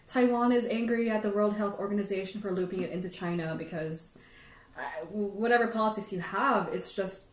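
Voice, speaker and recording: A woman hosts a casual livestream chat in an echoey room. She has a mid-range voice and rambles along in an animated, informal storytelling way. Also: medium pitch. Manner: animated, rambling, giggly, frequent "like". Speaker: livestream host.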